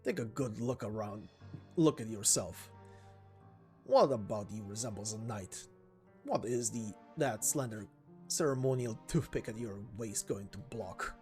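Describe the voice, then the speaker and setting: A man's voice: on the low side, a little slow, a little mellow, natural voice. A man voicing a proud, bitterly defiant young male warrior in terse, contemptuous lines from a game, over music. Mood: scornful. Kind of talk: dramatic reading of game dialogue.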